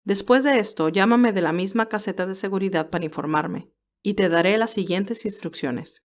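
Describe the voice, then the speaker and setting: A woman's voice: mid-range voice, moderate pace, close to an everyday voice. A woman voicing a composed male senior aide who gives orders formally and courteously in an audio story. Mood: businesslike.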